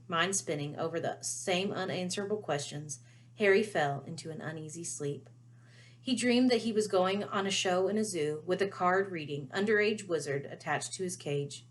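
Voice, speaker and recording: A woman with a lowish voice reads a children's story aloud. Her narration is expressive, in the style of a storyteller reading a chapter to listeners.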